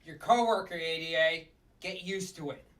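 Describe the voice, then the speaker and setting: A man's voice: a little high, normal speed, fairly bright tone, distant mic, lightly acted, rough recording. A man playing a short-tempered, bossy puppet district attorney in a sitcom table read, blustering and tripping over his words. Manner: blustering, stumbles and repeats words.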